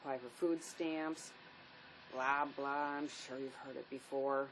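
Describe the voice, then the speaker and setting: A woman's voice: very deep voice, fairly even intonation, unhurried. A woman acting a weary, grieving divorced mother in a drama, world-weary and subdued. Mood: grieving, bitter. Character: grieving, weary divorced mother who lost her young son. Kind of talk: stage-style dramatic play acting. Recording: noisy amateur recording.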